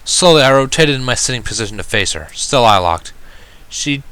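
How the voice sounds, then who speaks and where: A man narrating a first-person story in an expressive, reflective and dramatic way. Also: medium pitch, a little fast, clean tone. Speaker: reader of fan fiction. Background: background music.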